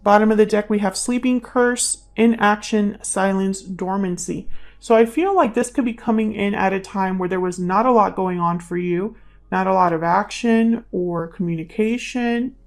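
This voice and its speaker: A woman hosts a casual tarot reading in a medium-pitched voice with a good deal of creak. She speaks in an intuitive, musing way, as if thinking aloud, and can drift toward a murmur. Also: normal speed. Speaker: tarot reader, channel host.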